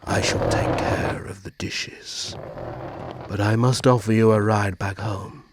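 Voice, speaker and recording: A man playing a brooding, courteous immortal vampire prince in a dramatic audio roleplay, speaking formally and measuredly in a husky, breathy voice. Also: fairly low pitch, steady tone, slow, noisy background. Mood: courteous, gentle.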